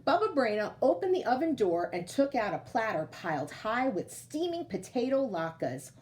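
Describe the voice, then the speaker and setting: A woman's voice: on the high side, normal speed. A woman storyteller reading a picture book aloud to children, expressively and speaking straight to her young listeners. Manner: animated, addresses young listeners directly.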